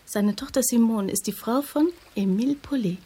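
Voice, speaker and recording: An actress playing a sober, knowing investigative journalist in a radio drama, direct and matter-of-fact. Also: female voice, fairly high pitch, moderate pace, mellow, husky. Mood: sober, explaining. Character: sober, knowing female investigative journalist, loyal old friend.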